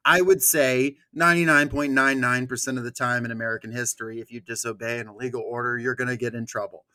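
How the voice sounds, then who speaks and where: A man's voice: medium pitch, fairly even intonation, quick pace, bright, forceful tone, rough recording. A man co-hosting a podcast, giving casual political news commentary in a sardonic, profane way. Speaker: podcast co-host.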